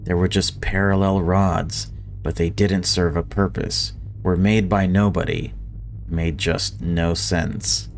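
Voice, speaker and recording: A man's voice: low voice, little pitch movement, slow, gravelly, a little hiss or hum. A man reading visual novel story text aloud and adding casual commentary as a gaming video creator.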